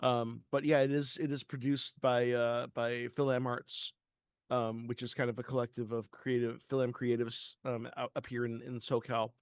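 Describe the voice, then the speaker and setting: A man's voice: mid-range voice, level tone, moderate pace, clean tone, no echo, clean studio sound. A man from San Diego co-hosting a podcast in casual chat, hesitant with many ums. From San Diego, California. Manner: hesitant, full of ums and restarts. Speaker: podcast co-host.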